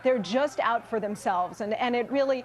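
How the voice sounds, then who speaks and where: A woman's voice: fairly high pitch, moderate pace. A woman political commentator arguing her view on a news panel. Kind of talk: panel debate.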